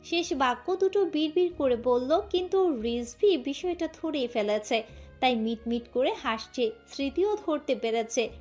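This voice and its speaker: A woman's voice: high-pitched, lively intonation, unhurried, darkish tone. A woman giving scripted narration of a romantic story. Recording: music bed under voice.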